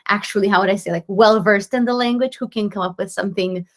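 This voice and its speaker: A woman's voice: mid-range voice, quick pace. A woman in her twenties from Slovenia, chatting as a guest in a casual interview, bubbly with many fillers and restarts. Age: 20s. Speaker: guest singer-songwriter.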